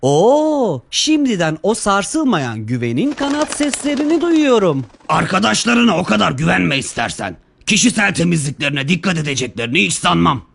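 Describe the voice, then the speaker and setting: Cartoon villain: a sardonic virus chief, scheming and mocking, raspy fry, highly animated. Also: male voice, moderate pace, pauses often, heavily acted. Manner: theatrical, sardonic. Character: cocky, scheming male virus boss, mocking and easily alarmed.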